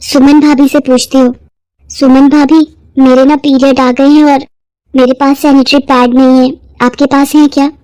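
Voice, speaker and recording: A woman's voice: high-pitched, level tone, moderate pace, mellow, no room sound, natural voice, rough recording. A woman voicing a polite, earnest young newlywed bride in a moral-story drama. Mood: worried.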